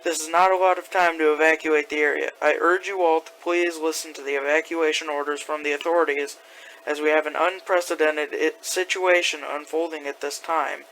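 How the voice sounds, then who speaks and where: A man playing an earnest, alarmed local radio news announcer, giving an urgent, slightly halting breaking news bulletin. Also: a little high, level tone, a bit bright, a little hiss or hum, low-quality recording. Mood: grave, urgent.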